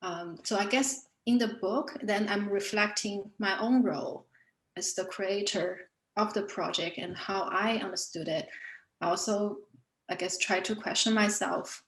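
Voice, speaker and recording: A woman's voice: medium pitch, measured pace, echoey room, poor audio. A woman whose first language is Chinese, an artist talking thoughtfully about her book in an online panel, with many fillers and restarts. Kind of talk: reflective online panel talk.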